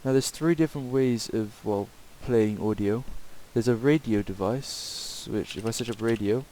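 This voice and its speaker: A man giving a casual, improvised software tutorial in a hesitant, rambling way. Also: fairly low pitch, moderate pace, rough recording. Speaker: tutorial presenter. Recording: hissy home microphone recording.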